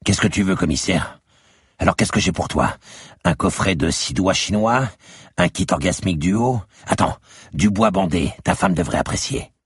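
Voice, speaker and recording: A man's voice: deep voice, animated, normal speed, husky, no room sound, studio quality, pitched above the performer's own voice, exaggerated voice. Guy putting on a weaselly little porn shop owner, crude n whiny, voice pushed way up, audiobook thriller. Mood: mocking. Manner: crude, mocking, whiny when cornered. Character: scrawny, sleazy, cowardly sex-shop owner, squealing and crude.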